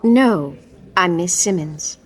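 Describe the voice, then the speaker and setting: Courteous female nurse, very lively intonation, formal, efficient, radio drama. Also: fairly low pitch, slow pace.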